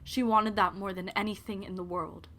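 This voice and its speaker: Bright-voiced young woman narrating drama. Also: medium pitch, fairly even intonation, normal speed. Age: young adult. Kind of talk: scripted audio drama narration.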